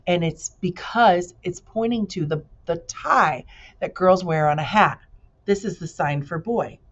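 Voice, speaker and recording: A woman reading a children's book aloud like a teacher to pupils, with expressive narration and casual explanatory asides. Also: lowish voice, a little slow, muffled recording.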